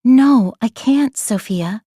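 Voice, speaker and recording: A woman's voice: a little high, lively intonation, slow, warm tone, slightly rough, no echo, studio quality, heavily acted. A woman voicing a modest, earnest young girl inventor in a storybook, a little plaintive and unsure. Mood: dejected. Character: modest kitchen girl inventor, earnest, self-doubting, determined.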